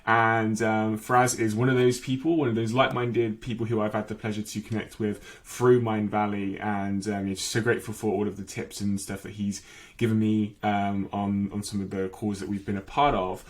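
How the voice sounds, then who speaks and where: Podcast host guy, lowish voice, lots of ums, grateful vibe. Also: level tone, moderate pace. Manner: soft-spoken, many fillers, thankful. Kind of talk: podcast interview.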